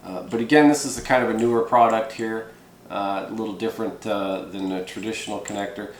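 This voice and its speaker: A man giving an informal, unscripted hands-on tutorial, explaining things in a rambling, hesitant way with frequent pauses. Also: fairly low pitch, fairly even intonation. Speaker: presenter of a CB radio how-to video. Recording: echoey room with background noise.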